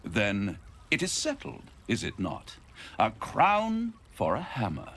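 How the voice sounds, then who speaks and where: A silky game devil who makes bargains with grand theatrical relish. The voice is deep and slow, and it swoops dramatically from low to high. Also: male voice, wildly swooping pitch, a bit bright, heavily acted. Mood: gloating, sly. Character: suave, scheming male devil offering bargains. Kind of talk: video game cutscene acting.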